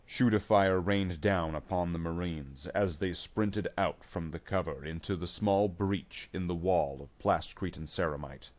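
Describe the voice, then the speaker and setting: A man reading a scripted action story aloud in a measured, dramatic way as its narrator. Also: low-pitched, darkish tone.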